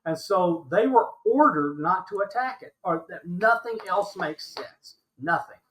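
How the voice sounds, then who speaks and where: A man's voice: high-pitched, animated, measured pace, a bit bright, slight background noise, poor audio. An older American man of about seventy, talking emphatically and insistently as an interview guest, repeating points for stress. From Alabama, United States. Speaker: interview guest recounting his experiences. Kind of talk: animated conversational argument.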